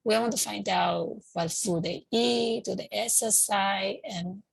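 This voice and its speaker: A middle-aged woman, a Chinese speaker, hosts an informal educational talk, pausing often to search for words. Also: medium pitch, creaky, breathy edge, no echo. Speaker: community talk host.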